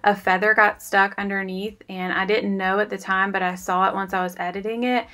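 A woman's voice: medium pitch, flat delivery, brisk, bright, forceful tone. A woman, talking through her cleaning in a chatty, confiding voice-over. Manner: chatty, confiding, self-deprecating. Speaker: cleaning vlogger. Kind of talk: casual voice-over narration.

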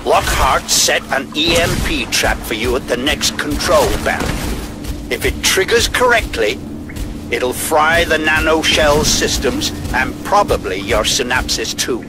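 An actor playing a commanding older male handler who briefs a soldier remotely in a deliberate, authoritative way in a video game. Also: a little high, lively intonation, over music. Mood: measured warning.

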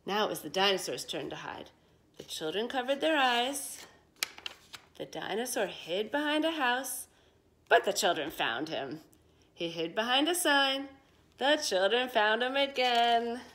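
Woman, middle-aged, high voice, very lively intonation, children's storyteller, emphatic read-aloud. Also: measured pace, a little hiss or hum. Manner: animated, emphatic delivery for young children. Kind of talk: expressive children's picture-book read-aloud. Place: living room at home.

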